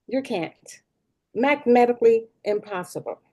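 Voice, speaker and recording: A woman's voice: a little high, normal speed, warm tone, lightly acted. A woman playing a self-important, petty school principal with a breezy, tactless manner in a sitcom table read. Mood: pompous. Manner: breezy, pompous, mock-reasonable.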